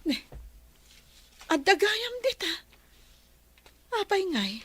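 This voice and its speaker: A woman playing a frail, gentle mother who is losing her sight in a Filipino radio drama, speaking with a Filipino accent. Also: very high-pitched, big pitch swings, takes it slowly, slight background noise, heavily acted. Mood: gentle, weary.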